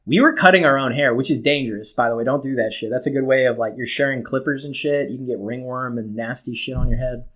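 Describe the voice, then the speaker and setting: A man's voice: mid-range voice, fast talker, hardly any pauses, fairly bright tone. A young American man talks in a loose, rambling way, like a podcast co-host spinning a story for a friend. He swears freely and keeps things comic. He slips into quoted dialogue as he tells it. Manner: rambling, acts out quoted dialogue, laughs at his own stories. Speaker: podcast co-host telling stories. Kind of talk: casual, profane comic storytelling.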